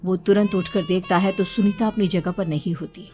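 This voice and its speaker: A woman with a slightly low voice tells a horror tale as scripted narration. Her delivery is dramatic, and clear music runs under the voice. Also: fairly even intonation, moderate pace, mellow.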